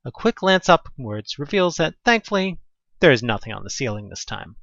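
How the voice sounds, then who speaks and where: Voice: medium pitch, sing-song, moderate pace, a bit bright, no room sound, lo-fi sound. A narrator reading a second-person horror audio drama expressively, with dramatic delivery and conversational asides.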